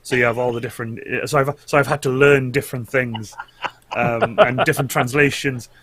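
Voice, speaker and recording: A man's voice: mid-range voice, fairly even intonation, fairly quick, fairly bright tone, no room sound. A man from Wales with a Welsh accent and Welsh as his first language, a guest chatting casually about streaming, full of restarts and fillers. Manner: chatty, lots of restarts and fillers, laughs often. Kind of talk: casual chat on a live show.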